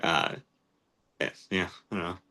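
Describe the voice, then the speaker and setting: A man in his twenties speaking in casual chat, hesitant and full of pauses. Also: low-pitched, big pitch swings, gravelly, bright, forceful tone. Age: 20s. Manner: hesitant, many ums and restarts.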